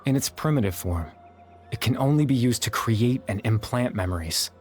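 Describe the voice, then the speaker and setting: An actor playing a melancholy, resigned being in a video game, explaining things in a measured, formal way. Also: male voice, mid-range voice, lively intonation, darkish tone, faint music behind, natural voice. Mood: somber, resigned. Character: melancholy male being resigned to his sacrifice, calmly explanatory. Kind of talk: dramatic video game voice acting.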